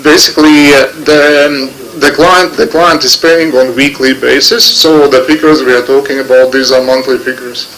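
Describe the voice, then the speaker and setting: A man's voice: mid-range voice, steady tone, normal speed, hardly any pauses, a bit bright, rough recording. A man, a company executive answering a committee's questions, explaining carefully and repeating words as he thinks. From Latvia. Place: parliament committee room.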